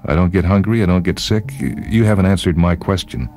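Man playing bookish, blunt man made of words, very deep. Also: brisk, a little mellow, close to an everyday voice. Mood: blunt, detached. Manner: clipped, pedantic, insistent.

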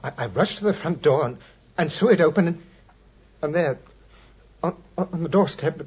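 A man's voice: on the high side, lively intonation, normal speed, husky. An actor playing a nervous, shaken British newspaper reporter in a 1950s radio drama, stammering and repeating his words. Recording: dull, muffled 1950s radio recording.

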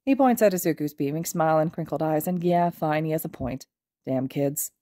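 A woman narrates a piece of fiction as a scripted reading. Her voice sits a little low and is soft and mellow. The recording is very dry, with no room around it. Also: brisk, dry recording. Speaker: podfic narrator.

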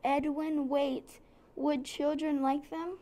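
A high-voiced female reader narrating a children's book from the text. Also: flat delivery, takes it slowly, mellow. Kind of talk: scripted read-aloud narration.

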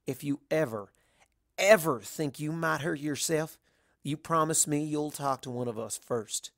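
A blunt country mare acted out by a man in a storybook tale. She is folksy and protective, pushing her words out loudly at a raised pitch. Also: a little slow, gravelly, no room sound, studio quality. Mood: stern, insistent. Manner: folksy, forceful.

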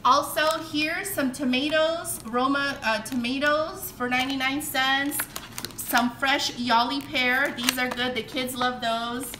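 A woman's voice: high-pitched, fairly even intonation, measured pace, background noise. A middle-aged woman chatting casually through a home grocery haul, upbeat and listing items with frequent fillers, filmed on a handheld phone. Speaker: vlogger. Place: home kitchen, indoors. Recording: echoey kitchen room.

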